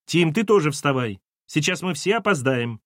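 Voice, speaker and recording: Casting: exasperated mother read by a male narrator, brisk, scolding, commanding, animated intonation, audiobook. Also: mid-range voice, no echo, clean studio sound. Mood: urgent.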